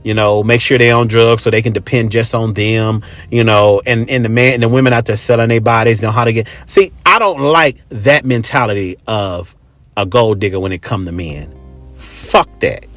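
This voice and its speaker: A man's voice: fairly low pitch, normal speed, fairly bright tone, no room sound, soft background music. An American man hosting a casual, opinionated monologue, speaking bluntly and emphatically with swearing and repeated phrases. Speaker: host. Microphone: close microphone.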